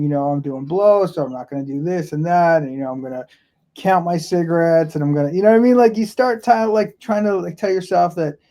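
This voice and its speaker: A podcast guest from Florida tells his story casually, in a rambling way full of fillers and restarts. His man's voice sits a touch high, with a mellow, warm tone.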